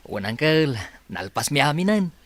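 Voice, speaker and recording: Animated, upbeat young Ilocano village labourer played by a man. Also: fairly high pitch, normal speed, no echo. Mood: reassuring. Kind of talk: Ilocano radio comedy drama acting.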